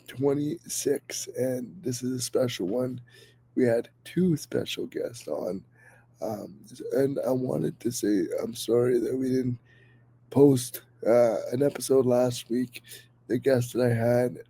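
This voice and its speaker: A man's voice: mid-range voice, warm tone, husky. A man hosting a podcast in an earnest, enthusiastic way, his voice a bit worn from being slightly sick. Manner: earnest, enthusiastic, many fillers and restarts. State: a little sick, voice under the weather. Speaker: podcast host. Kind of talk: casual podcast talk.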